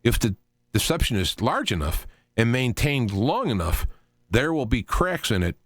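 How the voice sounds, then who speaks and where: A man hosting a talk radio show solo, speaking off the cuff in a halting, rambling way, often repeating and restarting phrases. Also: mid-range voice, big pitch swings, dry recording, studio quality.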